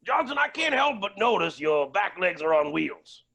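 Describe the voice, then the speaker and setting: A man playing a villainous, grandiose billionaire in a comic stage play, theatrical and gleefully deranged, with a raspy, gravelly voice. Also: high-pitched, very animated, moderate pace, bright, forceful tone, exaggerated voice. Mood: grandiose menace.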